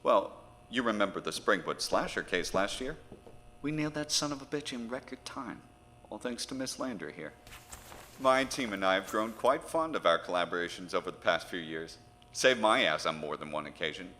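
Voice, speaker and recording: A man acts out a diplomatic federal agent in a horror audio drama. His low, bright-edged voice is polite, formal and earnest. It carries long reverb with faint noise behind. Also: animated, normal speed. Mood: diplomatic, insistent.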